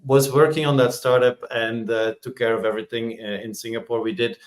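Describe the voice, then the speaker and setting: A man, a podcast guest talking in a relaxed, rambling way as he searches for words. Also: mid-range voice, fairly quick. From Belgium. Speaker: podcast guest, startup executive. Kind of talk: casual interview chat.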